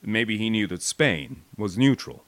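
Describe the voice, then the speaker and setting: An American man narrating a war memoir as an audiobook, with an expressive, wry, folksy storytelling delivery. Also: on the low side, unhurried, dry recording. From United States.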